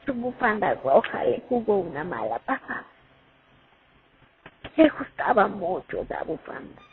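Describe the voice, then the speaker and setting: A woman's voice: medium pitch, sing-song, measured pace. A woman playing a haunted young Soviet sniper remembering the war in a radio drama monologue. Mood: mournful. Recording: tinny phone-like audio, music underneath.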